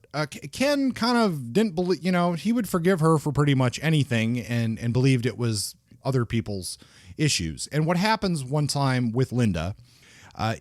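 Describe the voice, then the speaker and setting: A man co-hosting a book podcast, mid-pitched and recorded close and dry with no room sound. He talks in a casual, thoughtful way, often stopping to restart a phrase. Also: dry recording. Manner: thoughtful, halting, with frequent restarts and fillers. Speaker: podcast co-host.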